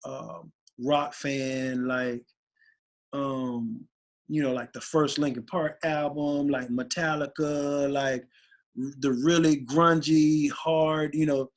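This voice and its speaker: A man, a rapper guest, talking casually and excitedly in an interview, rambling with many restarts. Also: on the high side, slow pace. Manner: animated, rambling, voice gets raspy when excited.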